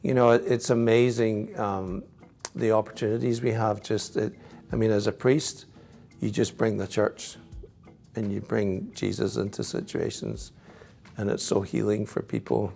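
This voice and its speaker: Low gravelly Scottish priest. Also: male voice, level tone, unhurried, darkish tone, faint music behind. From Scotland. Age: middle-aged. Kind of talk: reflective interview answers.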